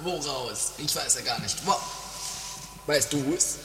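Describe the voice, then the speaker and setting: A performer playing a pompous, fussy, excitable man who stammers, in an amateur comedy radio play. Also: medium pitch, unhurried, noisy background, close to an everyday voice. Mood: bewildered.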